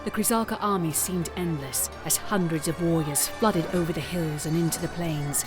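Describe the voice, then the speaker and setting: Casting: female narrator, lower register, dramatic scripted storytelling over a prominent score. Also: moderate pace. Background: dramatic background music.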